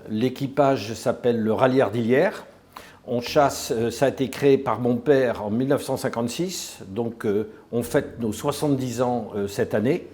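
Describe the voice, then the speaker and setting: Older man, slight Parisian accent, dark-toned. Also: medium pitch, moderate pace. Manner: hesitant, many fillers and restarts. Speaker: master of a hunting pack. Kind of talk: documentary interview.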